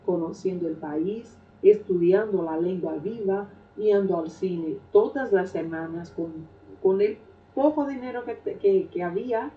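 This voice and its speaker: Low-voiced Brazilian woman, roomy and distant. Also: a little hiss or hum. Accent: Brazilian Portuguese. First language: Portuguese. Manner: unhurried, hesitant with frequent "eh" fillers. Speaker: Spanish teacher telling her life story. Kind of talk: reflective personal storytelling. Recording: roomy, distant, dull sound.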